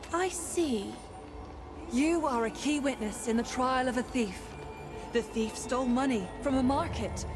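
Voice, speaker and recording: Ethereal, slow-speaking fantasy seer. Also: female voice, on the high side. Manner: measured, cryptic, formal questioning. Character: ethereal wandering female seer, enigmatic and probing. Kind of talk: theatrical fantasy game acting. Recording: music behind the voice.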